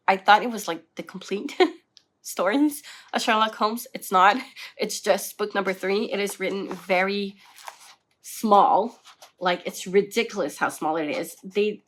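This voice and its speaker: A woman's voice: mid-range voice, fairly bright tone. A young woman from French Canada, a native French speaker with a French Canadian accent, chatting casually about her reading list in a rambling way full of fillers. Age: young adult. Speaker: book vlogger. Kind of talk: casual book chat to camera.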